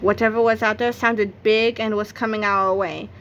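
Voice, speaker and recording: A woman's voice: mid-range voice, level tone, moderate pace, a bit bright, muffled recording, a little hiss or hum. A woman narrating a read-aloud of a children's comic novel, acting out its exclamations and sound effects. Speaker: book narrator.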